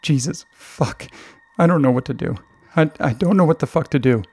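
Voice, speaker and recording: A man's voice: medium pitch, moderate pace, gravelly, dark tone, no echo. Man voicing a frantic, breathless, skittish mother. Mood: panicked, breathless. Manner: breathless, skittish, stammering, words tumbling. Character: frightened, frantic mother of a college student.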